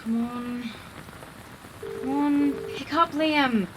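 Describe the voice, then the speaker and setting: Exasperated young female vlogger, high voice, sarcastic, audio drama, noisy outdoor-style ambience behind. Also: drawn-out words. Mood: impatient. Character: Claire, exasperated, reluctant young female video blogger.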